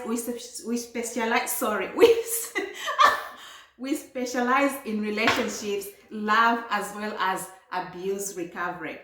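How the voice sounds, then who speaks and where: A woman's voice: mid-range voice, a bit bright. A woman from Malawi, hosting a talk show in an earnest way and laughing between phrases. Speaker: host and empowerment coach. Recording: echoey room.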